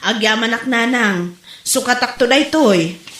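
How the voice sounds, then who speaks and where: A woman's voice: on the high side, a little slow, fairly bright tone, slight background noise. A woman acting a long-suffering, pleading wife and mother in a comedy drama, recorded in an echoey room. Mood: respectful, weary.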